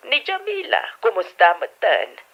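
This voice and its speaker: A woman's voice: fairly high pitch, huge pitch swings, a little slow, muffled recording, exaggerated voice. A woman acting in an Ilocano audio drama as a concerned, middle-aged sister giving advice, heard through a telephone effect. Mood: concerned, advising. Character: concerned, advising older sister calling from abroad.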